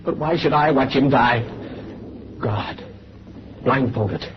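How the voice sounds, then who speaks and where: A man's voice: medium pitch, takes it slowly, close to an everyday voice. A man playing an anguished soldier sickened by killing, emotional and protesting, in old-time radio drama style. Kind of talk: 1930s radio acting. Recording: noisy, muffled vintage radio recording.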